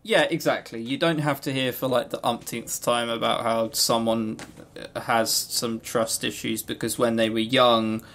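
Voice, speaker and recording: A man with a gravelly edge to a medium-pitched voice, co-hosting an informal podcast. He rambles through his opinions in a loose, joking way and swears freely. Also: fairly even intonation, unhurried, hardly any pauses, a bit bright, a little hiss or hum. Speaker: podcast co-host. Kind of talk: casual unscripted visual novel review chat.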